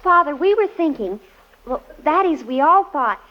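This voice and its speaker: A muffled old radio recording of an American teenage girl. Her high voice swoops with earnest, dramatic pleading, eager and quick to get huffy. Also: lively intonation. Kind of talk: old-time radio family comedy acting.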